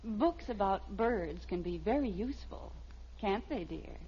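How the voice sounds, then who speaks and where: An American actress playing a gentle, proper suburban wife and mother in a 1950s radio sitcom. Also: mid-range voice, lively intonation, a little slow, lightly acted. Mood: pleasant. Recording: dull old radio recording, faint noise.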